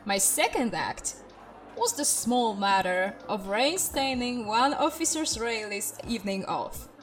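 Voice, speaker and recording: A woman's voice: a little high, lively intonation, unhurried, faint music behind. A woman playing a wry, teasing spaceship systems tech turned interim commander in a sci-fi comedy audio drama. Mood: pleased, playful.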